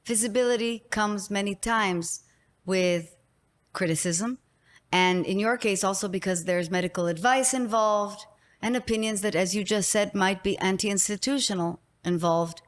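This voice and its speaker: A woman's voice: mid-range voice, moderate pace. A woman with an Israeli accent hosting an interview podcast, talking in an emphatic, effusive way. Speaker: podcast host. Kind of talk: interview conversation.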